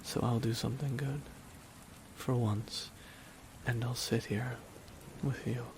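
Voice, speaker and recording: A man playing a wry, reflective supervillain in an ASMR audio drama, speaking slowly and softly with wistful pauses. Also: mid-range voice, fairly even intonation, noisy background. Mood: wistful, resigned. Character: reflective male supervillain, wry, quietly softened toward his nemesis. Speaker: voice actor in audio roleplay. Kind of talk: ASMR dramatic roleplay monologue. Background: rain.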